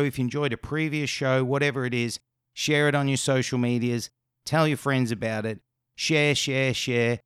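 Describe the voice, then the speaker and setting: Male podcast host, mid-pitched, smooth clear voice, upbeat and enthusiastic, dry close sound. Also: dry recording. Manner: upbeat promo delivery, enthusiastic. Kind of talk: podcast hosting and interviewing.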